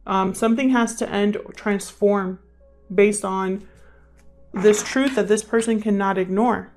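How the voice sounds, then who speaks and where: A woman's voice: medium pitch, fairly even intonation, a little slow, a little mellow. A woman giving a casual, improvised tarot reading, thinking aloud as she talks to the cards and to her viewers. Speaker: tarot reader, channel host. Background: background music.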